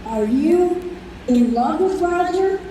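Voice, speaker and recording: A woman's voice: very high-pitched, expressive, slow pace, warm tone, slight background noise, heavily acted. An actress playing a blunt, protective daughter in her twenties in an old-time radio style stage play. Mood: pointed. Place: convention room stage performance. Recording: echoey live room.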